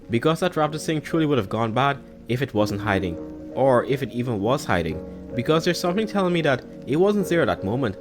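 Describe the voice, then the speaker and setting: A man giving casual, analytical fan commentary as a video host. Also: medium pitch. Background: background music.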